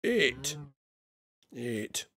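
Tired man streaming with lively pitch. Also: very slow, husky, no echo, studio quality. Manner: jokey, mimics accents in short bursts, counts under his breath. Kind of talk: casual live-stream chat while building.

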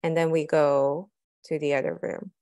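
Young woman scientist giving a lab tour, lots of uhs, lowish gravelly voice, dry sound. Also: level tone, normal speed, mellow, no echo. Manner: hesitant, many fillers, technical. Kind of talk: walking lab tour explanation. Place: cleanroom fabrication lab, indoors.

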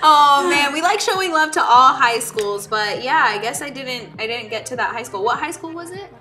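A woman's voice: high-pitched, animated, normal speed, a crowd in the background. A woman in her thirties chatting casually and teasingly while doing her makeup at a studio table. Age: 30s. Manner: giggly, upbeat, teasing. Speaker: makeup brand owner and host. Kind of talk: casual get-ready-with-me chat.

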